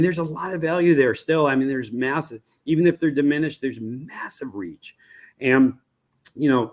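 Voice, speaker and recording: An American man giving conversational, analytical interview answers as an expert guest, thoughtful and halting, often restarting his phrases. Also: mid-range voice. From United States. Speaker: media research executive, interview guest.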